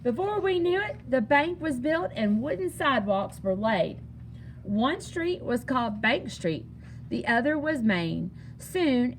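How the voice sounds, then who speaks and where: A woman reading a children's picture book aloud in an expressive, singsong storytelling manner. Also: on the high side, slight background noise, poor audio. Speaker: story reader.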